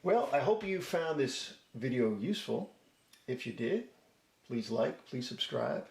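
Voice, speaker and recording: A man's voice: mid-range voice, sing-song, measured pace, a little mellow. A man giving a casual, chatty product demo and review, folksy as he talks viewers through each step. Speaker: host of a DIY product review channel. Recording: roomy echo, faint background noise.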